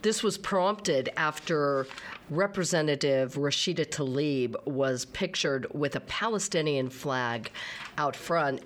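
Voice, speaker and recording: A woman hosting a talk show, introducing stories and questioning her guest in a steady, emphatic way. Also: low voice.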